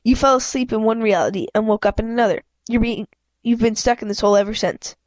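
A woman voicing a soft-spoken, calmly firm female reality police officer in a storybook, gentle and explanatory. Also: a little high, fast talker, no room sound, natural voice. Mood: calm, explaining.

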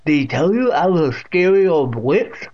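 A man voicing a leering old deaf great aunt in a storybook, loud, slow and deliberate. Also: fairly high pitch, very animated, voice pushed up. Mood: menacing, playful. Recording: dull lo-fi audio.

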